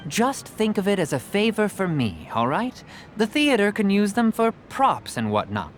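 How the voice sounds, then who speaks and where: A man's voice: high-pitched, very animated, normal speed, slight background noise. An actor playing a jovial, persuasive, talkative merchant with a theatrical salesman's manner in an English game dub. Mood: breezy, generous. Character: glib, jovial travelling merchant man, a persuasive storyteller.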